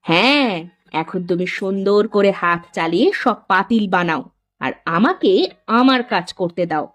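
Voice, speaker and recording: A woman playing a shrewd, resolute potter's wife in an animated moral story, expressive and assertive. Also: mid-range voice, normal speed, no room sound, natural voice. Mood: determined.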